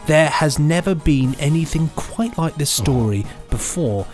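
A man's voice: on the high side, expressive, unhurried, over music. A man co-hosting a podcast, playful in chat and reading out reviews. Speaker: podcast host.

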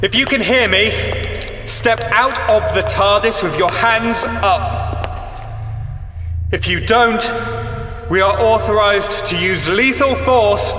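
A man's voice: high-pitched, slow pace, bright, forceful tone, heavily acted. An actor playing a formal, officious male guard commander challenging an intruder. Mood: stern. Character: male guard commander, nervous then stern. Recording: echoey space with music under.

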